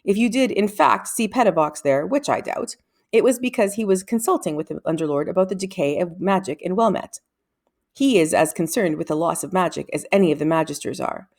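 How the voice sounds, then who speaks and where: A woman's voice: fairly low pitch, expressive, a little fast, darkish tone. A woman voicing a brusque, imperious older male wizard in a children's storybook, speaking in a stern, formal, clipped way. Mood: firm, dismissive. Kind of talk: children's storybook read-aloud.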